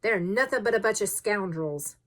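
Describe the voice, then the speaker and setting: A woman's voice: mid-range voice, lively intonation, moderate pace, lightly acted. A woman voicing a hot-tempered, blunt Canadian harpooner in an audiobook story, indignant and emphatic. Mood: scornful.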